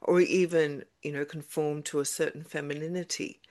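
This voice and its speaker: A woman interviewer asking questions in a slow, thoughtful way, restating what she has heard. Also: low-pitched, fairly even intonation, a little mellow.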